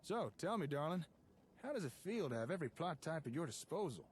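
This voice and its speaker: An actor playing a chatty, enterprising tinkerer in a wasteland game, talking in a folksy, easygoing, encouraging way, with faint noise. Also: male voice, a little high, big pitch swings, fairly quick, husky. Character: chatty, enterprising male tinkerer guiding wasteland settlement builders. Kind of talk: in-game character dialogue.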